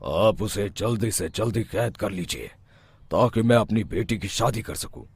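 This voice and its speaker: A man's voice: on the low side, expressive, unhurried, darkish tone, breathy edge, no room sound, exaggerated voice. A man voicing a proud, worried village landlord in a cartoon. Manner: anxious, determined.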